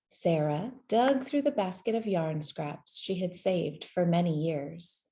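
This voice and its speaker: A woman reading a children's story aloud in a gentle, measured way, with deliberate pauses between phrases. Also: medium pitch. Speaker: storybook reader. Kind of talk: scripted children's story narration, read aloud.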